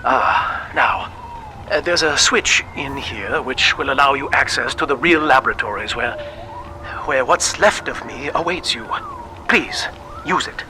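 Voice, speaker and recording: An actor playing a doomed scientist in a video game, speaking formally and politely with weary resignation. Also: male voice, medium pitch, a little slow, natural voice, rough recording. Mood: courteous, weary. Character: doomed male scientist, refined, weary, courteous, resigned to death. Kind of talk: recorded message in a video game.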